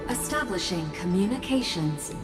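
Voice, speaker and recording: A woman voicing an impassive computer system in a video game, with flat, procedural delivery. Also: medium pitch, moderate pace, natural voice. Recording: long reverb, music behind.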